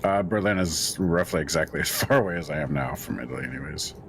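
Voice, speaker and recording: A man with a very deep voice, chatting casually as a guest player over voice chat. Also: fast talker, a little hiss or hum. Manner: very deep voice, laid-back.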